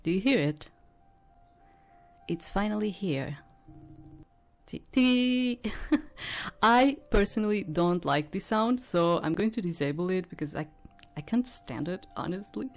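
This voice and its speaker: A woman giving casual game commentary and reading aloud, thinking out loud in a hesitant, rambling way. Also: mid-range voice, lively intonation, unhurried, pauses often, soft background music. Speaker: host of a game playthrough.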